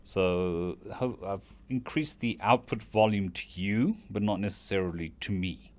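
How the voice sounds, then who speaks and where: Deep-voiced South African man streaming. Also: a little slow. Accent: South African English with British tones. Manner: relaxed, rambling, thanks viewers by name. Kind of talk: casual stream chat.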